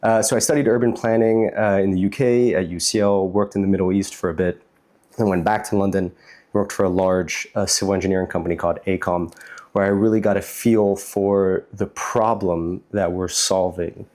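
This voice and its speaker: An American man with a fairly low voice speaks as a guest in an interview. He explains things in a casual, conversational way, sprinkled with informal phrasing. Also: fairly even intonation, moderate pace, darkish tone. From United States, New York City. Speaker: interview guest, startup chief executive.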